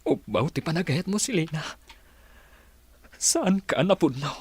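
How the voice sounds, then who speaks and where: A man's voice: on the high side, sing-song, unhurried, darkish tone, husky, full character voice. An actor with a northern Philippine accent playing a heartbroken, reproachful young man in an emotional, pleading radio melodrama style. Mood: anguished, pleading.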